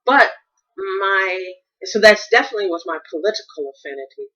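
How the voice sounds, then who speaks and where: A woman's voice: medium pitch, lively intonation, normal speed, sharp tone, muffled recording. An American woman hosting a solo podcast, thinking aloud in a rambling, reflective monologue with frequent restarts. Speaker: podcast host.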